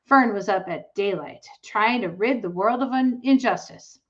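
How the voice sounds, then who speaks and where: A woman voicing a gentle but firm farmer father in a storybook, in a measured, patient way. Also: mid-range voice, dull audio, close to an everyday voice. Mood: wry.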